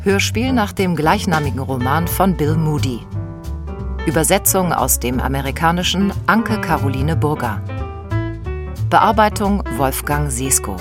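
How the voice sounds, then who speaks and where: A woman reading the opening credits in a calm, formal way. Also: low voice, measured pace, over music. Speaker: credits announcer. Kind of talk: scripted announcement.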